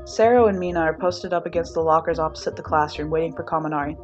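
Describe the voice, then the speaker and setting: A woman reading fan fiction aloud as an expressive, scripted story narration. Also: sits low, fast talker, dull audio, faint music behind.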